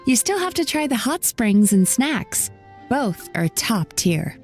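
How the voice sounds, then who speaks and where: Calm young bathhouse owner, measured, slightly high and lively pitch, faint music. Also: female voice. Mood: grateful. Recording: game audio with faint music.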